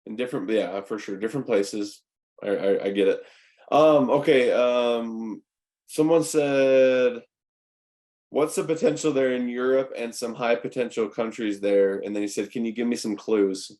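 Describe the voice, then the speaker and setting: A man's voice: medium pitch, unhurried, a little mellow. A man hosting an interview in a casual, chatty way as a native English speaker. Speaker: interviewer, host. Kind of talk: casual chat.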